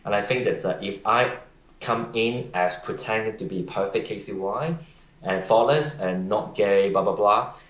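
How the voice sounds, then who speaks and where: Low-voiced man in an echoey room. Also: normal speed, a bit bright. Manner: earnest, gives advice, interrupts playfully. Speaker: podcast guest. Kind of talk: casual conversation.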